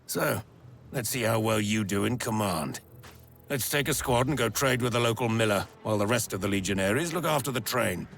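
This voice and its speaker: An actor playing a stern, seasoned army major who narrates, speaking in a measured, formal way. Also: male voice, fairly low pitch, vocal fry, fairly bright tone. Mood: commanding. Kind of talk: scripted game voice-over. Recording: game audio with music behind.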